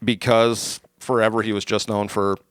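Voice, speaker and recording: A man's voice: fairly low pitch, level tone, normal speed, fairly bright tone, clean tone, no echo, clean studio sound. A man, co-hosting a casual sports podcast, chatting eagerly about a player's career. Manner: enthusiastic, chatty with fillers. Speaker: podcast co-host.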